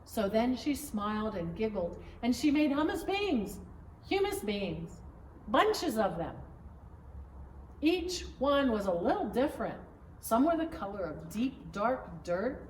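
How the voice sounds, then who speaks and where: A woman pastor reading a children's story aloud in an expressive, measured storytelling manner. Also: on the high side. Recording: roomy echo, distant mic, faint noise.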